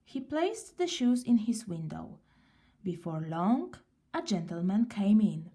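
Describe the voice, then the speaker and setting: A woman reading a children's story aloud in an unhurried, expressive way. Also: mid-range voice.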